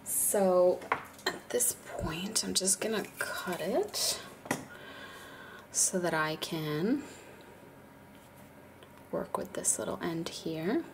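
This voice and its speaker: Low-voiced woman with a stuffy cold. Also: extremely slow, long pauses, whispered. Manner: chatty and relaxed, with fillers and small laughs. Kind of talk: casual hands-on tutorial narration. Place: indoors at a craft table, birds outside.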